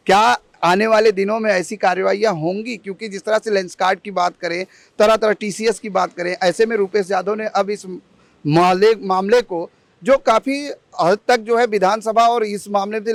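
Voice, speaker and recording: A man with a high-pitched voice reports as a news journalist. He puts questions and recaps in wordy, formulaic field-report phrasing, in a dry sound without echo.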